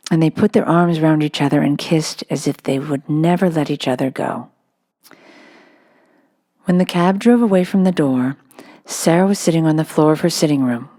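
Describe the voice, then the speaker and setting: A woman's voice: low-pitched, a little mellow. A woman reading a children's novel aloud as scripted narration. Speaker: storybook reader.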